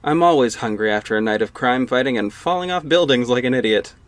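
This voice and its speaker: A man's voice: medium pitch, lively intonation, fast talker, no room sound. A young man narrating his own audio drama in the first person, wry and casual. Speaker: narrator and lead actor. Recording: music bed underneath.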